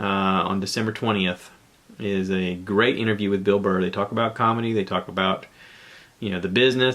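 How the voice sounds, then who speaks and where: A man hosting a solo podcast in a casual, conversational way, haltingly thinking aloud as he goes. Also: on the low side, a bit bright. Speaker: podcast host. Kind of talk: casual solo podcast talk, rambling recommendations.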